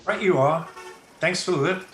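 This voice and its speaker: A man playing a kindly, earnest young gentleman in an old-time radio drama. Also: a little high, moderate pace, a little hiss or hum, natural voice. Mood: friendly, curious. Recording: roomy home video-call audio.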